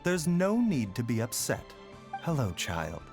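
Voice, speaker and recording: Courteous doctor, lilting intonation. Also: male voice, medium pitch, huge pitch swings, a little mellow, soft background music. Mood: composed, courteous. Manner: formal, measured, polite. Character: courteous, composed male doctor, gently attentive. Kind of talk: video game voice acting.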